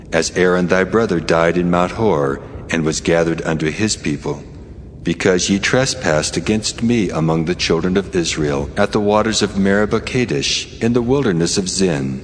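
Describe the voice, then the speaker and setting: Deep reverberant voice of God acted by a man. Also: close to an everyday voice. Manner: stern, grave, measured. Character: the Lord God, stern commanding male divine voice. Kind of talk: dramatized scripture reading. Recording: long cavernous reverb with faint noise.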